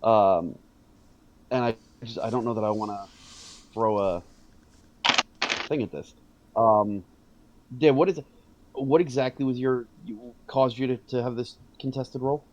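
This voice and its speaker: A man at an ordinary middle pitch talks through his turn in a tabletop game. He hesitates, restarts his sentences and apologizes when he gets the rules wrong. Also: fairly even intonation, a little slow, long pauses, darkish tone, dull audio, a little hiss or hum. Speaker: player. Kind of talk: casual tabletop game chat.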